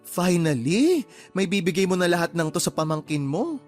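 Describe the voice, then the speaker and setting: An actress playing a bubbly, flamboyant best friend, sassy and gushing, in comic radio drama acting. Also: female voice, lowish voice, sing-song, normal speed, darkish tone, soft background music. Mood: playful, gushing. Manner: sassy, gushing, mixes in English slang.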